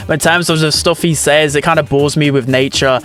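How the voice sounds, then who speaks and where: A man's voice: fairly high pitch, fairly even intonation, fairly quick, fairly bright tone. A man hosting a gaming video, giving casual opinion commentary.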